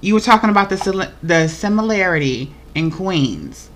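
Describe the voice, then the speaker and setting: A chatty, encouraging podcast host leads a casual interview in a bright voice. They keep coming back to the same reassuring phrases as they talk. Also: mid-range voice, animated, moderate pace, slight background noise. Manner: chatty, encouraging, repeats itself.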